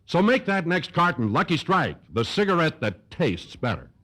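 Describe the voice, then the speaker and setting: An American man with a husky voice announcing and reading commercials in 1950s radio style. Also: a little high, sing-song, normal speed, fairly bright tone, slight background noise.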